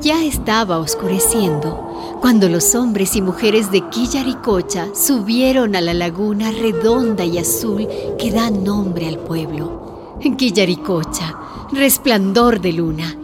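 Radio drama narrator: woman, unhurried scripted storytelling, medium pitch, music underneath. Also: animated.

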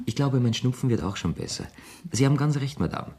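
A man's voice: mid-range voice, expressive, a little fast, dark tone, breathy edge. A man in a German radio play, playing a wealthy young bon vivant, urbane, flippant and mock-gallant. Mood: breezy, evasive. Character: suave, wealthy young man about town, playfully teasing.

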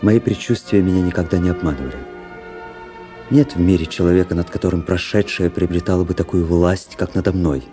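A man's voice: deep voice, steady tone, a little fast, music in the background, natural voice. An actor playing a brooding, world-weary officer who tells his own story introspectively in a literary radio drama. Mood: melancholy brooding. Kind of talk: literary first-person narration in a radio play.

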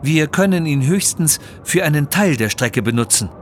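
A man's voice: a little high, lively intonation, moderate pace, a little hiss or hum, voice pushed up, natural voice. A man voicing a cautious, honourable Tibetan Sherpa mountain guide who speaks formally and earnestly in an audio story.

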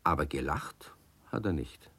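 A man narrating a children's radio play in a calm, gently wry storytelling manner. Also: extremely low pitch, unhurried, gravelly, a crowd in the background.

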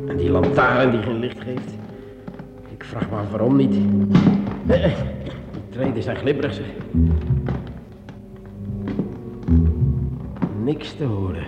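An actor playing a brave, sceptical sergeant in a Dutch radio drama, hesitant and repeating himself when pressed. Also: male voice, fairly low pitch, a little slow, long pauses, over loud music, lightly acted. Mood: wary, muttering.

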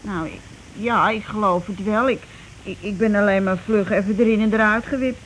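A woman's voice: mid-range voice, fairly even intonation, moderate pace, lightly acted. A woman playing a timid, uneasy wife in a radio play, with a hesitant, stammering, anxious way of talking. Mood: frightened, jittery. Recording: noisy old radio drama recording.

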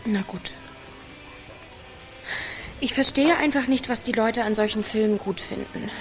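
A woman's voice: a little high, measured pace, soft background music, close to an everyday voice. A woman playing an uneasy, reserved teenage village girl in a naturalistic radio drama, giving terse, hesitant replies. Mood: subdued.

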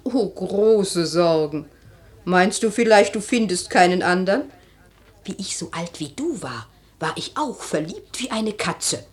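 A woman's voice: medium pitch, close to an everyday voice. A woman playing a worldly older maidservant in a German radio play, teasing and knowing in a theatrical manner.

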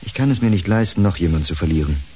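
An actor in a German radio play playing a weary, earnest psychiatrist with a husky voice. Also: male voice, low-pitched, expressive, fast, warm tone, slight background noise. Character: weary, earnest male psychiatrist, sad and dutiful. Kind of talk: German radio drama acting.

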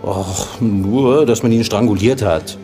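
An actor playing a wary, street-wise police informant in a German radio crime drama, evasive and colloquial. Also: male voice, lowish voice, slow, mellow, soft background music.

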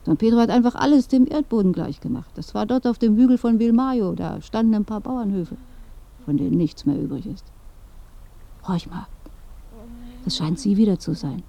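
A woman's voice: medium pitch, moderate pace, long pauses, close to an everyday voice. An actress playing a dead old woman in a radio play, chatty, knowing and inquisitive. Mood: knowing, confiding. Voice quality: dark, creaky. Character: dead old woman, curious gossip in a grave. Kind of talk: radio drama dialogue.

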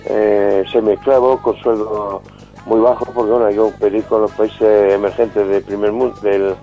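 Lowish-voiced Spanish man on a dull line. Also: little pitch movement, moderate pace, warm tone. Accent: Spanish from Spain. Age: 50s. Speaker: activist interview guest. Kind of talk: informal spoken interview. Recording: dull band-limited call with music behind.

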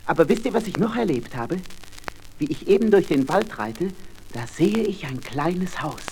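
A man's voice: sits high, lively intonation, moderate pace, a little mellow, slight background noise. A man playing a young, loyal royal page in a fairy-tale radio play, earnest and deferential. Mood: excited report.

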